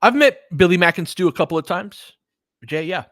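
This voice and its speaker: A man's voice: sits high, animated, fairly quick, a bit bright, no echo, studio quality. A man doing casual live basketball play-by-play in short, clipped phrases, with informal asides as a fan would make. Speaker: play-by-play commentator and streamer.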